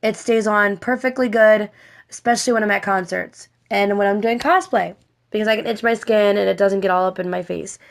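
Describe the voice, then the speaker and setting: A woman talks through a makeup tutorial in a casual, rambling style, repeating and correcting herself as she goes. Her voice sits at a middle pitch with a noticeable creak. A lingering cold leaves it a little hoarse and scratchy. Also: fairly even intonation, a little fast. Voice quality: slightly raspy, scratchy. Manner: rambling, repetitive, self-correcting. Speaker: cosplay makeup vlogger.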